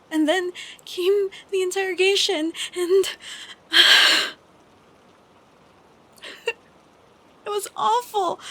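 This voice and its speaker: A young woman playing an affectionate, troubled college-age best friend in an intimate audio roleplay, speaking softly and hesitantly with sighs. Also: very high-pitched, heavily acted. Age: young adult. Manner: soft, hesitant, slow with sighs. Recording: steady background noise of rain. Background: rain sounds.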